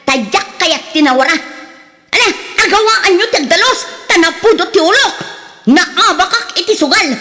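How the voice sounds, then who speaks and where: Radio drama role: bossy, nagging housewife, high pitch, curt delivery, long hall-like reverb. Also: female voice, lively intonation, moderate pace, bright, forceful tone, exaggerated voice. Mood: angry nagging. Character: bossy, scolding young housewife with a gambling habit. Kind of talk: radio drama acting in Ilocano.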